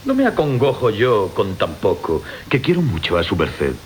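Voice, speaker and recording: A swaggering army captain in classic radio theatre. His mid-pitched voice is very animated and boastful. The old broadcast has faint background noise. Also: normal speed. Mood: boastful, gallant. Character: boastful soldier captain angling for knighthood. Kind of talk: 1980 Spanish radio theatre acting of classic farce.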